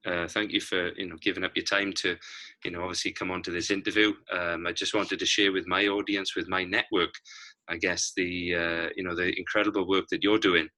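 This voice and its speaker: A man's voice: deep voice, flat delivery, a little fast, bright, forceful tone. A man hosting a casual interview, encouraging and wordy, often repeating his phrases.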